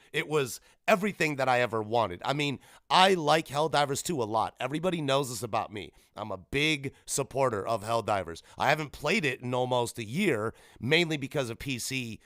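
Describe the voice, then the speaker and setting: A man's voice: mid-range voice, fairly bright tone, no echo, studio quality. A man in his thirties hosting a solo gaming podcast, rambling casually and correcting himself as he goes. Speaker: podcast host. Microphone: podcast microphone.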